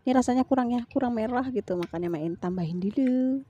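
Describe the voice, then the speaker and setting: Higher-pitched Indonesian mom vlogger, mellow voice. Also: female voice, fast talker, highs cut off, a little hiss or hum. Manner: chatty, colloquial. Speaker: mother and home vlogger. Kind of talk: casual vlog narration.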